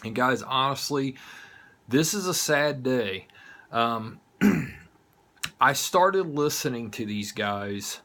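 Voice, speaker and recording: A man's voice: medium pitch, expressive, slow pace, pauses often, a bit bright. A man with a Southern US accent gives a casual, heartfelt monologue to viewers in an informal, blunt manner. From United States, not from the South. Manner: informal, blunt, occasional swearing. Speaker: host of an online horror show.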